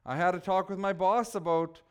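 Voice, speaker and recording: A man preaching a relaxed, conversational sermon, joking with his congregation and teasing people by name. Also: high voice, brisk, clear, resonant tone. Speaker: pastor.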